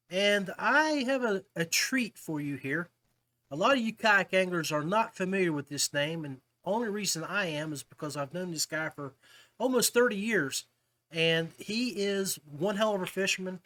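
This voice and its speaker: A man's voice: sits high, moderate pace, bright, forceful tone. A man hosting a casual fishing podcast, talking to guests in a chummy way, like old buddies. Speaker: podcast host.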